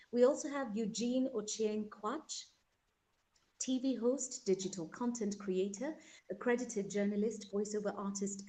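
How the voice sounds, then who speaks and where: A soft-spoken woman, hosting an online panel discussion in a measured way over a video call. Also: mid-range voice, rough recording. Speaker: host.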